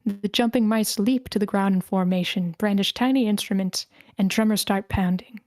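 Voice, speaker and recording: A woman's voice: medium pitch, moderate pace, a little mellow, no echo, clean studio sound. A woman reading a screenplay's stage directions as the narrator in scripted narration.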